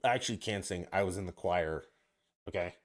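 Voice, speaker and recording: A man's voice: deep voice, brisk. A man co-hosting a podcast, asking crude questions and bragging in casual banter, a little tipsy. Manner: brash, joking, boastful.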